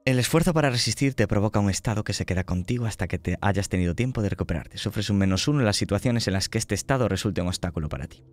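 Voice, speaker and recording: A man narrating a horror tabletop game as game master, slow and hushed, voicing small sound effects. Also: fairly low pitch, expressive, dry recording.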